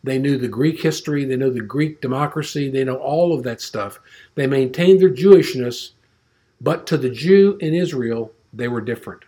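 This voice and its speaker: A man's voice: medium pitch, moderate pace, warm tone. A man teaching an informal Bible class, rambling and conversational, with frequent restarts and fillers.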